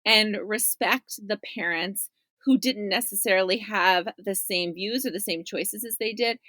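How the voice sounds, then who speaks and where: A woman's voice: mid-range voice, a little slow, bright, forceful tone, no room sound. Dry, close-sounding woman chatting. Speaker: journalist guest. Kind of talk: casual interview chat.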